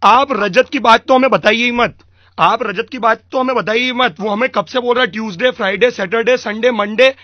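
A man's voice: very high voice, moderate pace, dry recording. A man with an Indian accent playing an irate, pushy vendor who badgers and repeats demands on a prank phone call. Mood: impatient. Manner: badgering, repetitive, accusatory. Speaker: prank caller. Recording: dull lo-fi radio recording.

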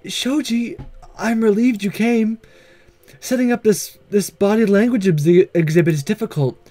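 A man voicing a gentle, studious, earnest schoolgirl in a visual novel, soft, polite and measured. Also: high-pitched, soft background music, pitched above the performer's own voice. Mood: grateful, earnest. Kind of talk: visual novel read-aloud.

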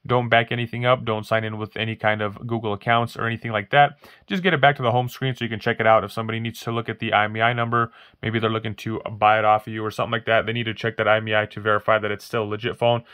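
Man, tech tutorial host, monotone, rambling with fillers, casual walkthrough, dry room. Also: lowish voice, rapid-fire, no room sound, lo-fi sound. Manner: rambling, many fillers and restarts. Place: indoors at a wooden table, voice-over of hands-only phone demo.

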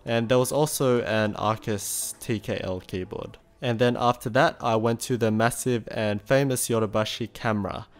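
A man's voice: mid-range voice, fairly even intonation, moderate pace, few pauses. Easygoing young Australian man narrating. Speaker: vlogger. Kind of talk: voice-over narration.

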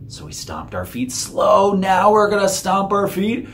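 A man's voice: high-pitched, wildly swooping pitch, unhurried, creaky. A middle-aged man leading a children's story time as a librarian, giving simple, encouraging sing-along instructions. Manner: encouraging, addressing small children. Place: small indoor room, seated at a table. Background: acoustic guitar he plays.

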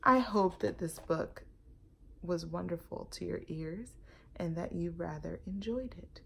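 A woman in her thirties reading a children's picture book aloud, deliberate and expressive, recorded at arm's length at home. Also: on the low side, gravelly, slight background noise. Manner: animated, deliberate, emphatic storytelling. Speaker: storytime host. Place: home living room and kitchen, indoors.

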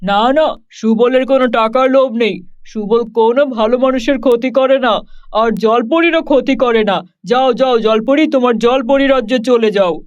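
A man's voice: extremely high pitch, moderate pace, dull audio. A man playing a good-hearted, boastful young cowherd in a children's cartoon, grumbling and exclaiming. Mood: proud, kindly. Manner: grumbling, exclaiming, self-important.

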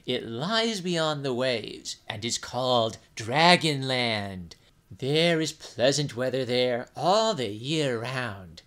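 A man voicing a jellyfish servant who coaxes in a slow, persuasive way. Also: medium pitch, very animated, fairly bright tone. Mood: coaxing. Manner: coaxing persuasive. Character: smooth-talking male jellyfish servant.

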